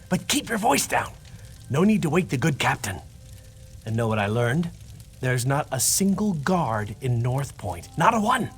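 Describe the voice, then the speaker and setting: A man's voice: medium pitch, slightly rough, exaggerated voice. An actor playing a charming, self-assured male knight in a fantasy video game, with a playful delivery.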